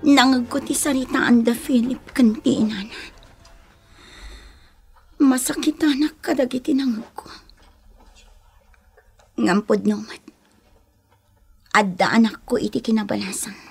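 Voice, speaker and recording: A woman's voice: fairly high pitch, unhurried, vocal fry, pauses often, slightly rough, exaggerated voice. A woman playing a discontented, stubborn young wife and mother in a Filipino radio drama, plaintive and melodramatic, with an Ilocano accent. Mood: hurt, tearful.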